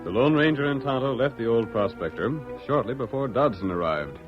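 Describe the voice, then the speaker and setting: A man's voice: mid-range voice, lively intonation, a little fast, over music, lightly acted. A man narrating a 1940s radio western drama in scripted, dramatic style. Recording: muffled old radio recording.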